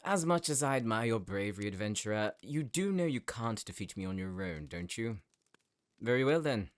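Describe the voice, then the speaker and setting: A man's voice: fairly low pitch, expressive, moderate pace, lightly acted. A man playing a menacing noble sorcerer villain in an audio drama, speaking in a pompous, gloating, theatrical way. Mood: mocking, smug. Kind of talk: audio roleplay acting.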